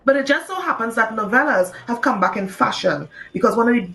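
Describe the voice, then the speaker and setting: A woman from Trinidad and Tobago, an author talking casually in an interview, lively and laughing often, with many "you know"s. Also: a little high, fast, vocal fry. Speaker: author guest.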